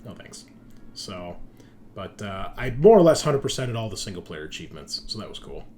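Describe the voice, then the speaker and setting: Man, lowish voice, show host, casual slangy chat, enthusiastic. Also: big pitch swings, normal speed, a little mellow. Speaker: host of a tabletop roleplaying show. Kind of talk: casual chat, introducing players.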